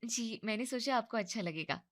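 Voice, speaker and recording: A woman's voice: on the high side, expressive, lightly acted. A woman doing a sassy young woman. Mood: sweet. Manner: cheeky, quick-tongued.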